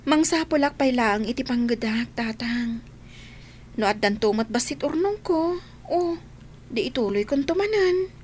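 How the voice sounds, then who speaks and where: With faint noise behind her, an actress plays a young village woman in a radio drama. Her voice is high, and she is an earnest, dutiful daughter who talks in a reassuring way. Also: animated, unhurried, long pauses, darkish tone. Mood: earnest, resigned. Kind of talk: Ilocano radio drama acting.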